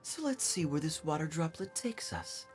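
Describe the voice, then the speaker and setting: A man's voice: a little high, very animated, moderate pace. A man playing a suave, playful young adventurer in a video game, reassuring and teasing in manner. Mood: wry. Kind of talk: video game cutscene acting. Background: game music.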